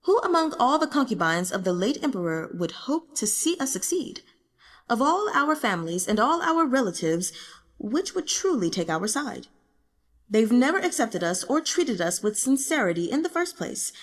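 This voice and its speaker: A woman's voice: fairly high pitch, big pitch swings, moderate pace, long reverb tail, pitched above the performer's own voice. A woman voicing a self-mocking, burdened young emperor in an audiobook, formal and solemn, with regal phrasing. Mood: bitter, weary. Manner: formal, solemn, speaks in the royal we. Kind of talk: audiobook character dialogue.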